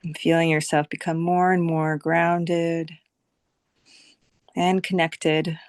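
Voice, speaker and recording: A woman leading a guided visualization meditation as a teacher, speaking soothingly in short phrases with long, unhurried pauses. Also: low voice, flat delivery, no room sound, clean studio sound.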